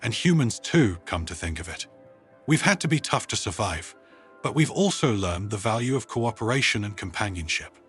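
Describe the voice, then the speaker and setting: A man's voice: on the low side, animated, normal speed, a bit bright, soft background music. A man voicing a wry, good-humoured woman space commander, explaining casually in a narrated sci-fi story. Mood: reflective.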